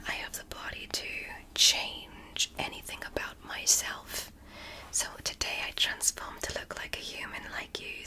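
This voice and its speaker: A woman whispering as a gentle alien doctor. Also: poor audio. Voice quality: breathy whisper. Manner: slow, soft, clinical and fascinated. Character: curious gentle alien doctor examining a human. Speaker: ASMR creator. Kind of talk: whispered ASMR medical roleplay. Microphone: close sensitive microphone.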